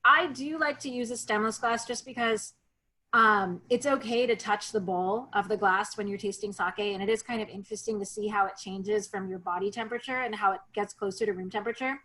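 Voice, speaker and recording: A woman in her thirties or forties, a sake expert talking in a relaxed, chatty way over a video call, with many ums and restarts. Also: mid-range voice, brisk, rough recording. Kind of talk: casual virtual tasting talk.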